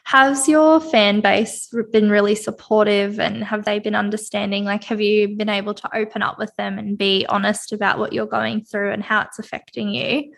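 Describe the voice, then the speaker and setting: A woman's voice: medium pitch, steady tone, normal speed, gravelly, few pauses. A woman hosting a podcast, chatting informally and affirmingly as she interviews a guest. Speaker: podcast host. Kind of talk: casual interview chat.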